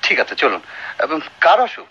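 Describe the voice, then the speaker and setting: A man's voice: a little high, big pitch swings, normal speed, slightly rough. A man playing a proud, weary doctor in a Bengali radio play, speaking formally, by turns brusque and musing. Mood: polite, uneasy. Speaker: radio drama actor. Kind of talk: dramatic radio play dialogue and soliloquy. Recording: noisy, muffled old radio drama recording.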